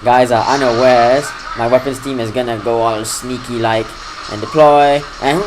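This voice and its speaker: A man's voice: medium pitch, moderate pace, background noise. Man giving casual gameplay commentary. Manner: fairly flat delivery that lifts when excited. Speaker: gaming channel host.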